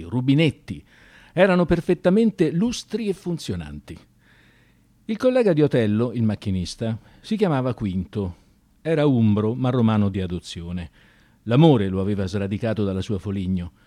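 A man narrating a dramatic scripted story in an expressive, theatrical radio-theatre manner. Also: medium pitch.

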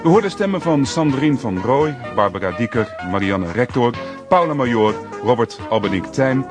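A man reading the closing credits of a radio play in a formal, even way. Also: medium pitch, moderate pace, over music. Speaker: announcer.

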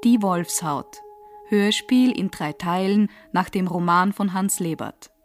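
A woman's voice: lowish voice, slow pace, soft background music. A woman reading radio drama credits as a formal announcer.

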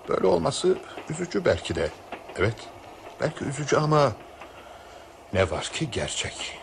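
A man playing an anxious, insistent male train passenger in a radio drama, speaking with formal, pleading urgency. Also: sits low. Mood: resigned. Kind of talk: Turkish radio drama acting. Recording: noisy old radio play recording.

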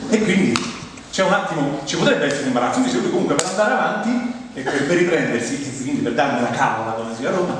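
A man with a Roman Italian accent and a fairly high voice delivers a comic stage monologue. He tells anecdotes colloquially and slips into acted-out remarks. The sound is very echoey, like a big hall picked up from a distance. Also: animated, fast. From Rome, Italy. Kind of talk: humorous theatrical monologue. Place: theatre stage, recorded from afar. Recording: very echoey hall, distant mic, faint noise.

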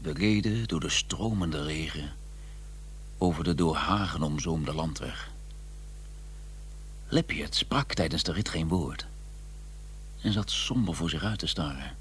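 A man's voice: rumbling low, lively intonation, unhurried, pauses often, fairly bright tone, a little hiss or hum, full character voice. A man acting a formal, dryly sarcastic and exasperated scholar who also narrates in a radio drama. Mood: indignant recounting. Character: prim, exasperated English scholar, a doctor, narrating his journey.

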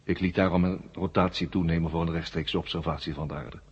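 A man playing an ancient, worn-out war machine brain in a 1970s radio drama, weary and forgetful, in flat, halting, repetitive statements. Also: sits low, breathy edge. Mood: weary, explaining. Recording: muffled old radio drama recording.

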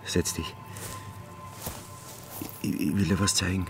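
An actor playing an earnest, taciturn mountain labourer in a radio drama, speaking in plain, halting short sentences. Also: male voice, low voice, flat delivery, gravelly, over music, exaggerated voice. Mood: tender, earnest.

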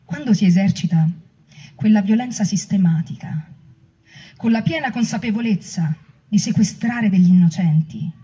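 A woman performs a dramatic reading of a written personal account. Her voice is mellow and dark, with a breathy, husky grain. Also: fairly high pitch. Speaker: performer reading book extracts.

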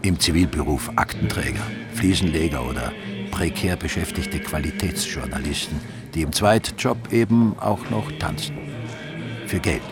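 A man's voice: low-pitched, expressive, moderate pace. An actor playing a wry narrator of a comic radio play, dryly ironic in his delivery. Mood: dry irony. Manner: dry, ironic. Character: wry male narrator of a comic crime story. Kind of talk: scripted radio drama narration. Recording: music behind the voice.

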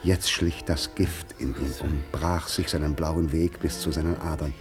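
A man narrating a radio play as scripted literary storytelling, in a measured, grave manner. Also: a bass voice, steady tone, over music.

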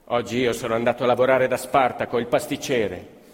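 An actor playing a poor, scrappy boy on stage, lively and mocking. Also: mid-range voice, fairly quick, close to an everyday voice. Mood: boastful. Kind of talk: stage theatre acting. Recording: live stage recording in a reverberant theatre.

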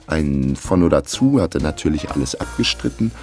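A man's voice: extremely low pitch, lively intonation, normal speed, a little mellow, soft background music. An actor playing a wry, broke young Berlin man who narrates his own misadventure in a dry, laconic radio play style. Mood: wry, defiant. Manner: dry, laconic, self-ironic. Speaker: narrator of a radio crime drama.

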